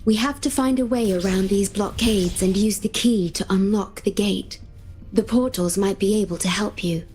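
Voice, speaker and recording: A woman voicing a gentle, composed young female companion in a video game, soft-spoken, polite and measured. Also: medium pitch, lightly acted. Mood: calm, helpful. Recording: game music behind the voice.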